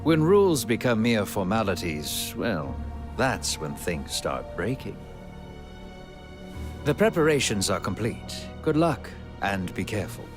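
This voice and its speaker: An actor playing a dutiful, self-effacing elf scout bred to serve in a fantasy game, speaking formally and politely. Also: male voice, on the low side, sing-song, a little slow, over music. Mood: confiding. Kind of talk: cinematic video game acting.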